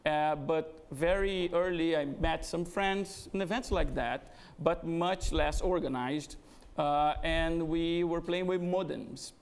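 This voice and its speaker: A middle-aged Brazilian man with a gravelly, slightly high voice speaks to an event audience. His Brazilian Portuguese accent is strong, and he pauses often to find his words. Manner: hesitant, with frequent "uh" fillers. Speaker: guest speaker, business executive. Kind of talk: conversational fireside interview. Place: event venue before an audience.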